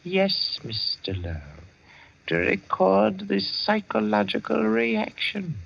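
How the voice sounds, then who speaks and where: Creaky, courtly old merchant. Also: male voice, high-pitched, huge pitch swings, a bit bright, full character voice. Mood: grave, insinuating. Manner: formal, measured, philosophical speech. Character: embittered wealthy old Indian merchant, courtly and melancholy. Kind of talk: 1940s radio acting. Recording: muffled vintage 1940s radio recording.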